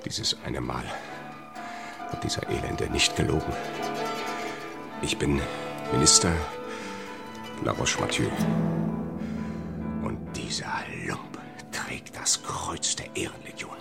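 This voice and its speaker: A man acting a proud, contemptuous government minister in a German radio play, with a haughty, halting delivery. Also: rumbling low, lively intonation, creaky, whispered, over loud music, full character voice. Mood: bitter contempt.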